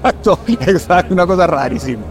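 Fast-talking middle-aged man, mixed accent. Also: high-pitched, lively intonation, faint music behind. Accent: mixed Caribbean and Mexican Spanish. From Puerto Rico. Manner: chatty, storytelling, fillers like "este". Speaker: film director, podcast guest. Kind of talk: casual conversation.

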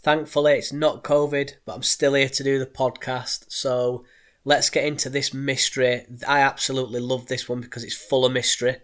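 A man's voice: mid-range voice, flat delivery, moderate pace, a bit bright, clear, resonant tone. Man with a head cold narrating. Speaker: podcast host. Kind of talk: true crime narration.

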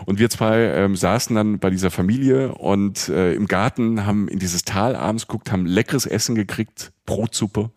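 Podcast co-host, man from the Palatinate, rambling storyteller, lower voice, dry booth sound. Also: moderate pace, few pauses, a little mellow, no room sound. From Palatinate, Germany. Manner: chatty, rambling storytelling with many fillers. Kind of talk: casual travel chat.

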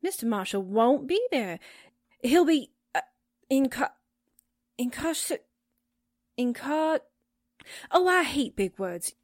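A woman's voice: high-pitched, big pitch swings, slow, long pauses, dry recording, studio quality, exaggerated voice. A woman acting a slangy, playful newspaperwoman sidekick in 1940s radio drama style. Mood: playful, comic. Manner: folksy, playful, stumbles over big words.

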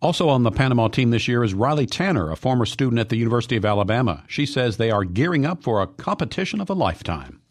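Fast-reading male news anchor. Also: mid-range voice, big pitch swings. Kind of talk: scripted news narration.

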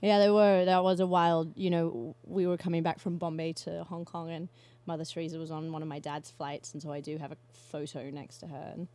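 A young woman in casual conversation, chatty and quick to laugh, often restarting her sentences. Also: low-pitched, level tone, mellow.

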